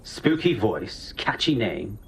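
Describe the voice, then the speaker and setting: An actor playing a teasing, sardonic know-it-all ally in a video game. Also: male voice, fairly low pitch, big pitch swings, slow pace, a little hiss or hum, full character voice, poor audio.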